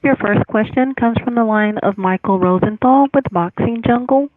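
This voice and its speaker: A woman's voice: on the high side, normal speed, no room sound. A woman working as a conference call operator, making scripted announcements over a telephone. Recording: dry, narrow phone line.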